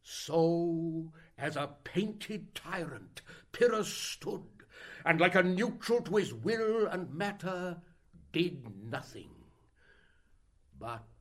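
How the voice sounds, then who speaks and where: A high, bright-voiced stage player performed by a man declaims tragic verse. He takes it slowly and solemnly, with theatrical fervour, as in a Shakespearean audio drama. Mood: hushed suspense. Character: travelling stage actor declaiming a tragic war speech, solemn and fervent.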